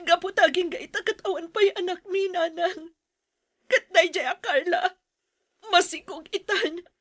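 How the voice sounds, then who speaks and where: Woman acting a very high-voiced, wounded, fiery wife. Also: unhurried, fairly bright tone, no echo, studio quality. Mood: anguished. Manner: emotional, confrontational. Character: betrayed, anguished wife with a fierce temper. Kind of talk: melodramatic radio-style drama acting.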